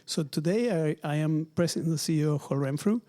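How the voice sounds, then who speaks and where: Man in his 40s, Argentine Spanish accent, mellow warm voice, measured and thoughtful. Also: fairly high pitch. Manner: measured, thoughtful, frequent um fillers. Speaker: retail executive guest. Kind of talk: interview conversation. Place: office at company headquarters.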